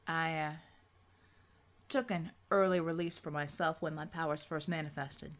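A woman's voice: steady tone, normal speed, close to an everyday voice. A woman playing a guarded, plain-spoken American man in an audiobook story, laconic and hesitant. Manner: laconic, hesitant, low-key.

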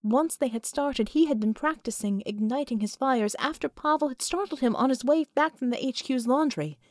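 Higher-pitched woman narrating fiction, dry sound. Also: moderate pace, darkish tone, no room sound. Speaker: audiobook narrator.

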